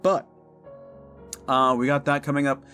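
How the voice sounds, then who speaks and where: A man's voice: medium pitch, monotone, measured pace, bright, forceful tone, soft background music. A man in his thirties chatting informally to his listeners as the host of an audio drama channel, in a rambling, unscripted way. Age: 30s. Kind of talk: casual rambling outro to listeners.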